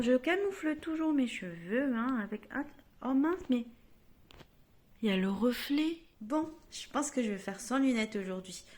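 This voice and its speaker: Brief: woman vlogger, slightly high voice, chatty home storytelling to camera. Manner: chatty, self-mocking, lively quoted voices.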